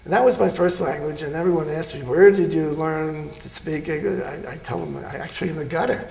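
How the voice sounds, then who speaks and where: Older man with a gravelly voice. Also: fairly high pitch, darkish tone, echoey room. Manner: halting, many pauses and fillers. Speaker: surgeon interviewee. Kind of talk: oral history interview.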